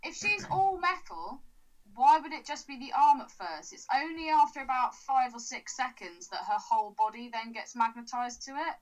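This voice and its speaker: A giggly female co-host calling in on a computer's built-in mic, teasing her partner and laughing easily. Her voice is high and swoops widely in pitch. She talks casually and playfully throughout. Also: expressive, normal speed, low-quality recording. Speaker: podcast co-host. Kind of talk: casual chat. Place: remote call. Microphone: computer's built-in microphone.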